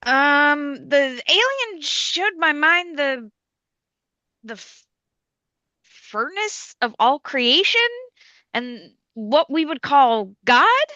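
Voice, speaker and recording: A woman's voice: high voice, animated, extremely slow, bright, forceful tone, no echo, heavily acted. A woman playing a dreamy, awestruck abductee in a comedy sketch read, hesitant with wonder, in slightly dull sound. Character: dreamy, awestruck woman touched by cosmic love.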